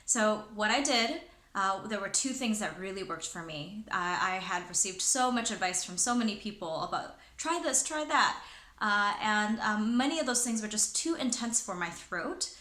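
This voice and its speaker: A woman's voice: mid-range voice, animated, normal speed, bright, forceful tone, echoey room. A woman who works as a presenter and trainer, sharing advice in a chatty way with many fillers and restarts. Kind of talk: casual talking-head advice.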